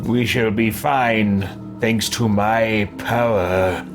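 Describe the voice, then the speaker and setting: A man roleplaying a pompous, self-assured sorcerer adventurer in a theatrical, drawn-out way for a game playthrough. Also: on the low side, fairly even intonation, over loud music. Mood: boastful. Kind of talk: let's play roleplay.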